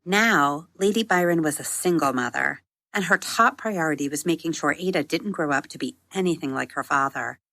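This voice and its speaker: A woman narrating a scripted children's story as podcast host, in a measured, deliberate storytelling manner with emphatic phrasing. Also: low voice, vocal fry, fairly bright tone, no room sound.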